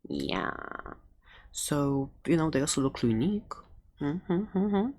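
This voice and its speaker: A friendly dragon egg seller played by a woman in an ASMR roleplay, with a remarkably low voice. The delivery is unhurried and rambling, playful and a bit giggly, with faint noise and clicking behind it. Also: sing-song, exaggerated voice. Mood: gentle, rambling. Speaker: ASMR roleplayer playing a shopkeeper. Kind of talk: ASMR roleplay, improvised and conversational.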